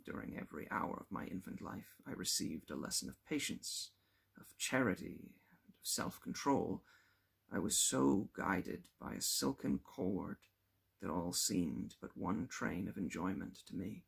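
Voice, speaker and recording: A man reading a classic novel aloud as scripted narration, in a measured, expressive way with a gravelly, husky voice. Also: low voice. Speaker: audiobook reader.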